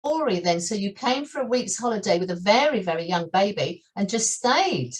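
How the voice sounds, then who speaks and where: A woman's voice: mid-range voice, expressive, moderate pace, low-quality recording. A woman from the UK hosting a podcast interview, asking questions and reacting with enthusiasm. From United Kingdom. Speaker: podcast host.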